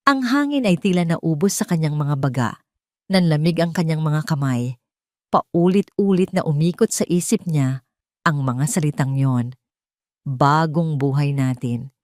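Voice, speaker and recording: A woman narrating a scripted romance drama in a measured, dramatic storytelling manner. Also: low voice, animated, a little mellow, no room sound, studio quality.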